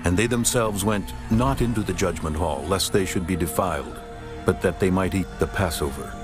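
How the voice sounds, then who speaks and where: A man's voice: sits low, normal speed, music in the background. A man narrating dramatized scripture in a solemn, formal manner.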